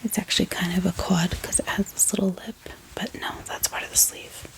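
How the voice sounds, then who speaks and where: A woman speaking in a soft, gentle ASMR whisper, musing aloud in an unhurried way as she hosts. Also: mid-range voice, flat delivery, creaky, low-quality recording. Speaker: ASMR book haul host.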